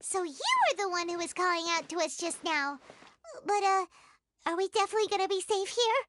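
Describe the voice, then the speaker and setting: A woman voicing a tiny, excitable female fairy companion in a game cutscene, blurting out her thoughts. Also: very high voice, a little fast, background noise. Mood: curious. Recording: game soundtrack music behind.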